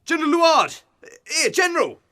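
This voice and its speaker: Guy acting a nosy pushy newspaper man, sharp bright voice, cruel and insinuating, radio play. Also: very high-pitched, sing-song, normal speed, full character voice. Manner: hectoring, slangy, mock-reasonable. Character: pushy, insinuating male tabloid reporter, cruelly needling.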